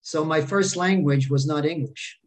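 A man in his fifties telling his personal faith testimony in short phrases, pausing for an interpreter. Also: fairly high pitch. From America. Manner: speaks in short phrases with pauses and fillers. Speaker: guest speaker sharing testimony.

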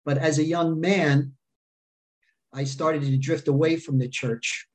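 A man in his fifties telling his personal faith testimony in short phrases, pausing for an interpreter. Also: a little high, steady tone, a little mellow. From America. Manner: speaks in short phrases with pauses and fillers. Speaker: guest speaker sharing testimony.

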